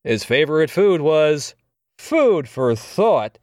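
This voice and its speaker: Showboating villain game show host, swooping high. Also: male voice, big pitch swings, a little mellow, no echo, clean studio sound. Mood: gleeful, teasing. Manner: drawn-out, teasing showman delivery. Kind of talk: theatrical game show patter in audio drama.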